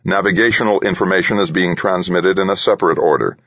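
A man's voice: sits low, fast talker, fairly bright tone, husky, dry recording, dull audio, voice pushed up, full character voice. A man voicing a computer-synthesized voice in an audiobook, dictating formal military orders in a mechanical drone. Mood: droning, formal.

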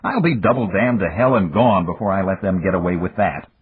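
A man playing a hot-headed American businessman with a low, husky, combative voice in a storybook reading. The sound is thin and phone-like. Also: fairly quick. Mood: blunt. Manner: blunt, combative. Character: blunt American male businessman, impetuous and stubborn. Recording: tinny, phone-like lo-fi.

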